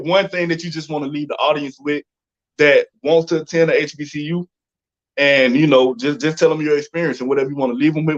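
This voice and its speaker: A man hosting a casual interview, speaking in a halting, conversational way with backtracking. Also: a little high. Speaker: interview host. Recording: dull, muffled lo-fi audio.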